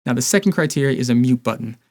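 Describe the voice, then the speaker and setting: A man's voice: medium pitch, lively intonation, racing, darkish tone. A man in his thirties presenting a scripted explainer to camera as a review host. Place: small indoor home studio.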